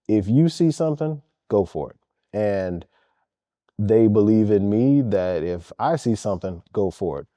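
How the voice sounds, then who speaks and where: Man, low voice, very dark tone, earnest emphatic coach, dry close mic. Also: dry recording. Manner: earnest and emphatic, repeats key points. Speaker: head basketball coach. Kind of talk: interview answers.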